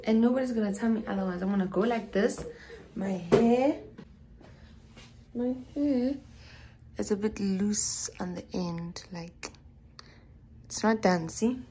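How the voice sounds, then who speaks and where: A woman's voice: mid-range voice, a little slow, long pauses, dark tone, a little hiss or hum. A young South African woman chatting to her phone camera in a small dorm room, rambling and dropping into whispered asides. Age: young adult. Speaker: student vlogger. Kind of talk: casual vlog chat to camera. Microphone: phone camera at arm's length.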